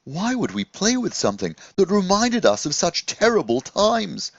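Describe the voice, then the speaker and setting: A man's voice: sits high, wildly swooping pitch, normal speed, vocal fry, dry recording, dull audio, voice pushed up, full character voice. A man voicing a curious, polite and earnest magical scarecrow in a children's storybook, with a wondering manner. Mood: earnest, respectful.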